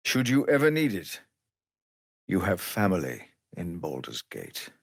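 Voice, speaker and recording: A fallen warrior leading refugees in a fantasy game. His voice is gravelly and husky, with an unhurried, formal and grave delivery. He sounds weary yet earnest, recorded close and dry. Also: low voice, wildly swooping pitch, no echo, exaggerated voice. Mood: calm, grateful. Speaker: video game character voice. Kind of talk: dramatic fantasy game dialogue.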